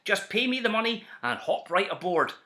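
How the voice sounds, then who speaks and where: A man's voice: high voice, sing-song, moderate pace, bright, forceful tone, heavily acted. A man voicing a sly, slick travelling salesman in a storybook, with keen, persuasive patter. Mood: sly coaxing.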